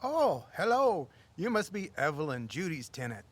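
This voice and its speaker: A man's voice: on the high side, very animated, normal speed. An actor playing a tall, heavy-set building contractor in an audio drama, practical and matter-of-fact in his talk. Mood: matter-of-fact, friendly. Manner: plain-spoken, practical. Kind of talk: naturalistic audio drama acting.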